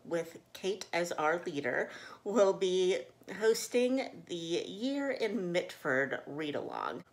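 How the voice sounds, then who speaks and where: Casting: woman, thirties, cheerful book vlogger, low pitch, slow easy delivery, informal chat to camera. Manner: cheerful, chuckles often. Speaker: host of a book channel and read-along co-host. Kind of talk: casual chatty vlog to camera. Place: small living room indoors.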